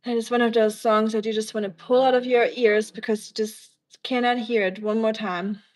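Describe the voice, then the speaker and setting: A woman from Germany talks in a German-accented voice that sits a little high. She chats on her livestream in a rambling, teasing way, drifting between asides as she answers viewers. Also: steady tone, moderate pace.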